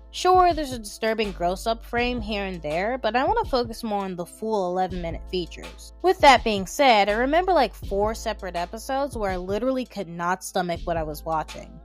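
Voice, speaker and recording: A young adult woman delivers casual, opinionated commentary at a medium pitch. Her talk is informal and dotted with emphatic asides, and music plays behind her voice. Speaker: video essay narrator. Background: background music.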